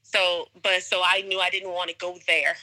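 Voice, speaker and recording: A woman's voice: on the low side, little pitch movement, moderate pace, sharp tone, low-quality recording. A young American woman chatting casually as a guest in an interview. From United States, suburbs of Atlanta, Georgia. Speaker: podcast guest, English teacher.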